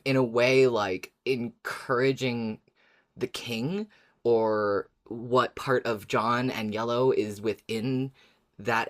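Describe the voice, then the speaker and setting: A podcast co-host chatting casually and enthusiastically, musing aloud. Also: mid-range voice.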